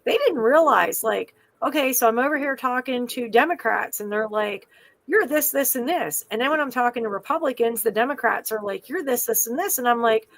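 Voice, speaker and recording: A middle-aged woman with a fairly high voice speaks as an advocate for children. She is passionate and emphatic and hammers each point through repetition. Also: animated, brisk, a bit bright, dry recording. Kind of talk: podcast conversation.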